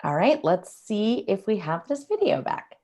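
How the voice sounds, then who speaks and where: A woman's voice: mid-range voice, sing-song, measured pace, warm tone. A woman hosting an online event in a casual, off-the-cuff way over a video call. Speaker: event host. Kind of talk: casual chat while troubleshooting.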